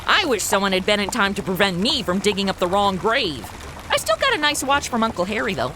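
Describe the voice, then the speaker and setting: A woman's voice: mid-range voice, wildly swooping pitch, a little fast. A woman playing a brash, sarcastic, whiny modern American sidekick in a comedy audio drama, full of slang. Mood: flippant. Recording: noisy, rain-like hiss behind.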